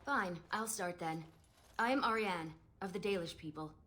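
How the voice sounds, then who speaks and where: A woman's voice: on the low side, unhurried, fairly bright tone, slight background noise. An actress playing a proud, guarded young elven woman in a fantasy game, speaking earnestly and formally. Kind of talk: scripted fantasy game dialogue.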